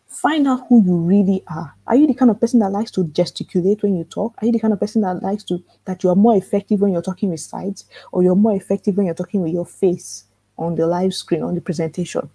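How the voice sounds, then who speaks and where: A soft-spoken woman with a calm voice teaching a live online workshop for authors, earnest and repetitive, asking rhetorical questions. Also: medium pitch, slightly rough, no room sound, rough recording. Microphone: computer mic in a live webinar.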